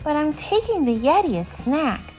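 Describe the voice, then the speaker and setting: A woman's voice: high voice, sing-song, moderate pace, warm tone, faint music behind, pitched above the performer's own voice. A woman voicing an eager, polite young boy explorer in a storybook, exclaiming with enthusiasm. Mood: polite, apologetic.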